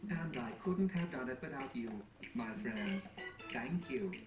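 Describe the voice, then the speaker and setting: An actor playing a smug, calculating robot employee with a formal manner in a cartoon game. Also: male voice, mid-range voice, expressive, a little slow, music in the background, close to an everyday voice. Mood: self-satisfied. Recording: echoey.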